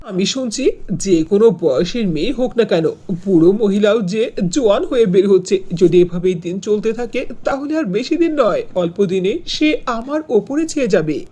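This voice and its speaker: A woman's voice: medium pitch, animated, moderate pace, warm tone, husky. A woman voicing a jealous, scheming village headman in a dramatic cartoon moral tale. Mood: suspicious, plotting. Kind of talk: dubbed cartoon dialogue.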